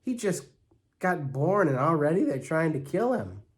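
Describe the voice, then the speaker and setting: A man acts out a tough young girl from a storybook. She is blunt and scornful, grumbling her complaints with indignation at an unhurried pace. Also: on the high side, sing-song. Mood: indignant.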